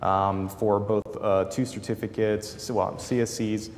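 A man in his thirties with a boomy voice, explaining his work as a panelist at a conference, with many fillers. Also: little pitch movement, measured pace, darkish tone. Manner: gestures broadly while explaining, many fillers. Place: large conference hall. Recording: hall reverb, faint room noise.

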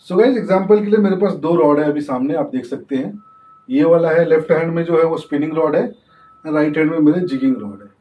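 A young man teaching fishing as he shows the gear, switching easily to English terms. His voice sits high but sounds mellow and soft-edged. He is recorded from some distance in an echoey space. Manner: explains while demonstrating, mixing in English terms. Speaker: fishing channel host. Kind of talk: casual tutorial demonstration.

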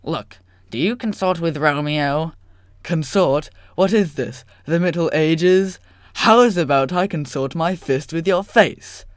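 A man's voice: on the high side, unhurried, no echo, studio quality, lightly acted. A man playing a cocky, quarrelsome young sock puppet with a sarcastic, theatrical manner. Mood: mocking.

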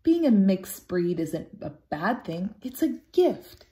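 A woman's voice: medium pitch, very animated, slow pace, dark tone. A woman voicing a caring, encouraging parent dog in a children's storybook, reassuring a pup. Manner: encouraging, comforting. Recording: roomy home recording.

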